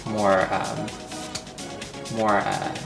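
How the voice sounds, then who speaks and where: A woman's voice: rumbling low, little pitch movement, very slow. A woman co-hosting a casual, unscripted review chat. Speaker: co-host of a toy review. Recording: distant mic, music clearly behind.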